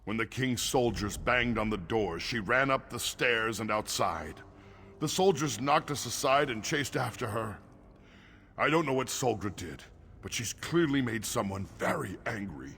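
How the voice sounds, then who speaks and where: Gravelly, uneasy orc temple attendant. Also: male voice, mid-range voice, lively intonation, measured pace, fairly bright tone, slight background noise. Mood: troubled. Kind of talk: fantasy game dialogue.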